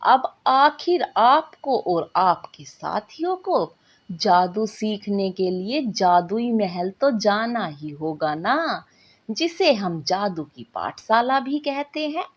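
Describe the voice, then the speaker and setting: Woman as a patient, husky-voiced storybook mother. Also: medium pitch, big pitch swings, slow. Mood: reassuring. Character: patient, affectionate magical mother soothing her daughter. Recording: dull lo-fi recording.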